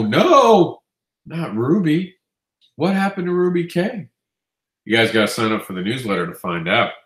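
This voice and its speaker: A man's voice: on the low side, fairly bright tone. Congested nasal man in an echoey room. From Queens, New York. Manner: chatty, upbeat, self-correcting. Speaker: comics news host. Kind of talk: casual news roundup.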